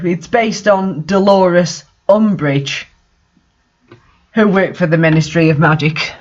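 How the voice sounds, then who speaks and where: A man's voice: high voice, dull audio. A man reading a story aloud and commenting on it in a mocking, exasperated way. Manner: exasperated, sardonic. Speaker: reader and commentator of bad fan fiction.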